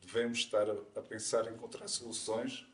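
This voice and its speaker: A man's voice: lowish voice, level tone, fairly quick, a bit bright. A man with a European Portuguese accent giving an informal explanatory talk, conversational and didactic, often checking in with his listeners. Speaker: speaker presenting at a community conference. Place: indoor event room, live talk. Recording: echoey room, distant mic, faint noise.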